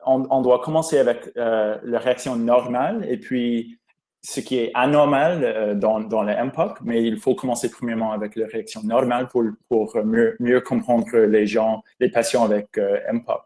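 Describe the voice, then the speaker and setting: A man presents a medical webinar, speaking in a language that is not his first, with English as his native tongue. His tone is mellow and relaxed, and he stops often to search for words, filling the gaps with hesitations. He sounds like he is on a computer mic over a video call. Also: mid-range voice. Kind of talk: webinar lecture.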